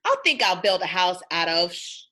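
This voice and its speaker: A woman voicing a relaxed, overconfident young boy piglet in a storybook, casual and enthusiastic. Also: lowish voice, moderate pace. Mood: eager.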